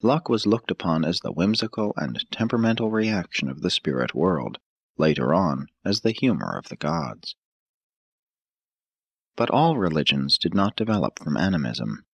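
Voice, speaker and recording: A man narrating an audiobook from a script in a measured, formal, solemn manner. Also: deep voice, big pitch swings, slightly rough, no echo, dull audio.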